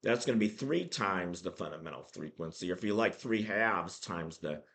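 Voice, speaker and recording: A man with a hoarse voice from a cold, hosting a casual math lesson and explaining things with many ums, uhs and restarts. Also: deep voice, animated, normal speed, fairly bright tone. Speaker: math channel host.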